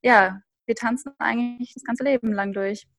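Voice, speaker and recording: A young woman with a slightly high voice talks cheerfully as a podcast guest. She speaks standard German and chats along with lots of little fillers. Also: steady tone, normal speed, fairly bright tone. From Austria, raised in Upper Bavaria. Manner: cheerful, chatty, many fillers. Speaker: guest ballet dancer. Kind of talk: casual podcast interview.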